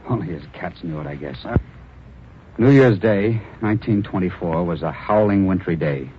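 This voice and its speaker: A deep-voiced police reporter. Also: male voice, normal speed, slightly rough. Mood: steady. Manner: steady storytelling narration. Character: young male police reporter narrating the case. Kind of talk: 1940s radio acting. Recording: dull lo-fi old radio recording.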